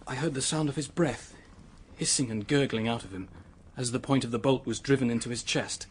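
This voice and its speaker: An actor playing a distraught young British man in a radio drama, confessing to an accidental killing in a haunted, halting manner. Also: medium pitch, slight background noise, natural voice. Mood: haunted, agitated.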